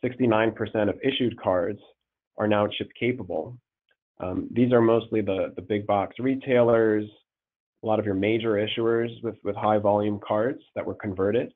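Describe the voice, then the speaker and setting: A man with a cold presenting a webinar on fraud as a risk manager, halting and repeating words, with many ums. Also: on the low side, fairly even intonation. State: congested with a cold. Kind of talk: slide presentation over a call. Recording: tinny phone-line audio.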